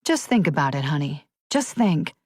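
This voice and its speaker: A woman's voice: deep voice, sing-song, normal speed, slightly rough, dry recording, clean studio sound, exaggerated voice. A woman voicing a tough, protective female police officer who gives commanding orders in an audiobook thriller. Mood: soothing, worried. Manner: urgent, forceful commands. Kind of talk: audiobook character dialogue.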